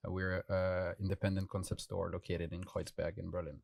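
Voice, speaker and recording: A man speaking on a fashion panel, lively and conversational. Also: sits low, brisk, gravelly, dry recording, clean studio sound. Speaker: creative director, panelist. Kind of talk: panel discussion.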